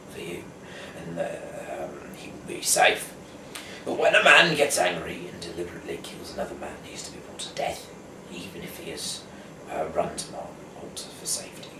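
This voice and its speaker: A man from the UK who speaks haltingly and stumbles over words as an amateur host and reader. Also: sits low. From United Kingdom. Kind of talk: casual rambling talk, then reading scripture aloud. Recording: echoey room, distant mic, clear background noise.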